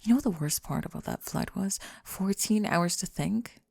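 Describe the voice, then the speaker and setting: A young woman playing a guarded, flustered college student in an intimate ASMR roleplay, speaking softly and haltingly, often slipping into whispers. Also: medium pitch, expressive, vocal fry, slightly rough, dry recording. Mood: flustered, complaining. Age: young adult. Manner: halting, sighing, self-conscious. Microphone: close microphone, dry.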